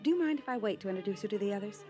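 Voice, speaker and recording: An actress playing a chic, courteous society hostess with a gracious, formal manner in an old radio drama. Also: female voice, mid-range voice, animated, racing, mellow, lightly acted. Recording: vintage radio broadcast, music behind.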